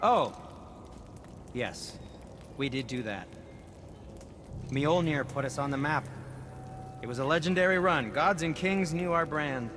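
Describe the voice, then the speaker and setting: A man's voice: on the high side, a little slow, long pauses, a bit bright, a little hiss or hum. A man playing a fussy, evasive, wistful dwarf craftsman in a video game, prim and hesitant in manner. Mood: wary, nostalgic. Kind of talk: video game character acting.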